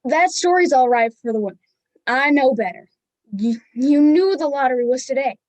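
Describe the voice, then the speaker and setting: High-voiced woman playing stern scolding husband. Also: expressive, moderate pace, darkish tone, no room sound, low-quality recording. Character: stern, scolding small-town husband and father. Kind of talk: amateur one-act play acting. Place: filmed on a video call.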